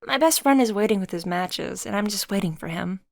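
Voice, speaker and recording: A woman's voice: mid-range voice, animated, fairly quick, gravelly, dry recording, clean studio sound. A woman voicing a friendly, curious and forthright young woman with an upbeat, chatty delivery in a story.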